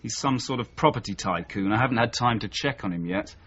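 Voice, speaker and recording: An actor playing an earnest, understated British detective sergeant in a 1980s radio drama, speaking in a measured, dutiful way. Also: male voice, medium pitch, fairly bright tone, breathy edge. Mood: businesslike, reporting. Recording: dull, noisy radio broadcast.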